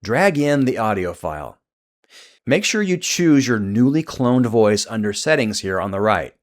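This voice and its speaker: A man narrating a scripted software tutorial as its host. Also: lowish voice, sing-song, a little slow.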